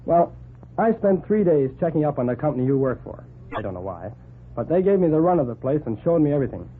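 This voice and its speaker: An actor in a 1940s radio drama playing a brisk, persuasive, self-assured newspaper reporter. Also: male voice, a little high, sing-song. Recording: narrow, noisy 1940s radio recording.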